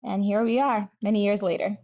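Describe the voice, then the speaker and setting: A woman's voice: medium pitch, steady tone, brisk, mellow, highs cut off. A woman in her thirties, originally from Nigeria, talking as a guest physician in an interview, thoughtful and candid. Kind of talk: conversational interview.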